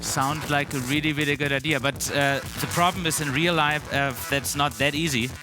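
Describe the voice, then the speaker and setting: A man's voice: fairly high pitch, fairly even intonation, normal speed, over music. A man in his thirties with a German accent, giving a conference talk on a large stage through a headset mic, with loose chat full of ums and restarts. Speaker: conference speaker. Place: large dark stage hall.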